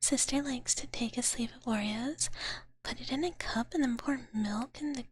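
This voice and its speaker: A woman whispering in a breathy, soft voice close to the microphone, chatting playfully and cutely with viewers on a live ASMR stream. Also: fairly high pitch, normal speed, dry recording. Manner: playful, cutesy big-sister persona speaking of herself in third person.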